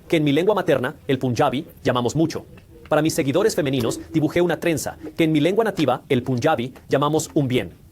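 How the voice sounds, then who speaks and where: A man's voice: fairly high pitch, a little mellow. A man hosting a channel about money, talking viewers through a scripted explainer on how the mortgage market works. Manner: brisk, explanatory, speaks straight to viewers. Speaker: finance channel host.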